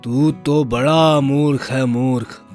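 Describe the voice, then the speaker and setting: A man voicing an angry, scornful male demon who scolds loudly in a storybook tale. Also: mid-range voice, steady tone, slow pace, soft background music, close to an everyday voice. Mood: scornful anger.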